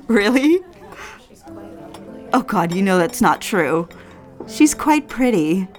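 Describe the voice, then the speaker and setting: A woman's voice: mid-range voice, very animated, drawn-out words, pauses often, soft background music. A woman playing an anxious, proper young woman who is cautious but placating, in an audio drama. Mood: guarded, uneasy.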